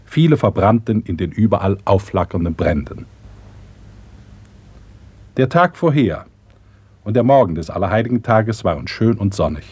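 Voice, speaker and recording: A man narrating a radio drama in a measured, factual way. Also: on the low side, sing-song, darkish tone, husky.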